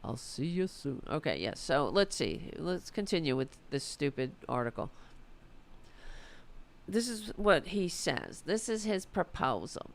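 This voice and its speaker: A woman with a raspy voice hosting a political commentary show, reading an article aloud and answering it with sarcastic, profane indignation. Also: low voice, big pitch swings, unhurried.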